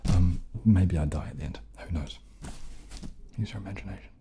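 A young man speaking softly and unhurriedly in casual ASMR style, partly whispering, with some hesitation. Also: low-pitched. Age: young adult. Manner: soft-spoken, hesitant, unhurried. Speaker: ASMR video creator. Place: small dim indoor room. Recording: clearly audible background noise, added rain.

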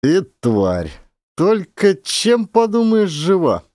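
Guy doing a surly lazy peasant herder, grumbly and scornful, pitch jumping around, audiobook. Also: wildly swooping pitch, takes it slowly, a little mellow, dry recording, clean studio sound, heavily acted. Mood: scornful grumbling.

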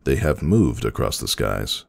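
A man narrating a story dramatically in a measured, solemn manner. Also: rumbling low, big pitch swings, creaky.

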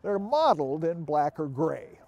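Upbeat, lively middle-aged male host. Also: on the high side, a little slow, vocal fry, muffled recording. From Oregon. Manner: upbeat, folksy storytelling. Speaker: travel show host. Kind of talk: scripted travel narration. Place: outdoors in woods and by a roadside.

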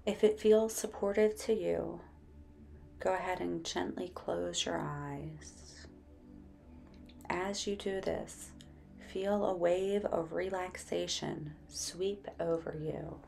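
A woman narrating a guided meditation in a gentle, soothing, unhurried manner. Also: on the low side, fairly even intonation, vocal fry, faint music behind.

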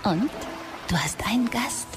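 An actress playing a calm female computer system in an audio drama, formal and soothing. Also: on the high side, measured pace, loud music behind the voice. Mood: serene. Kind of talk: German audio drama acting.

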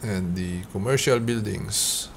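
A man from the Philippines, a vlogger casually narrating a city tour with many fillers and a halting manner. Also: on the low side, creaky. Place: outdoors on city streets, then bus upper deck. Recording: street noise behind.

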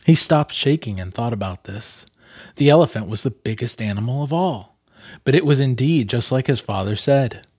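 A man's voice: medium pitch, lively intonation, normal speed, a little mellow, slightly rough, no echo. A man in his thirties reading a children's book aloud expressively, with casual, chatty asides, close to a desktop microphone. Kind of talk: storybook reading with casual commentary. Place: small plain room indoors.

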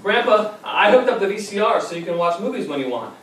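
A man's voice: sits high, sing-song, quick pace, fairly bright tone. A young man acting as a fond, patient grandson in a memory play, speaking in a wry, reflective way. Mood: gently coaxing. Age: young adult. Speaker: stage actor and narrator. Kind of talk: memory play monologue and dialogue. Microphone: far audience camera. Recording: very echoey theater, distant camera, faint noise.

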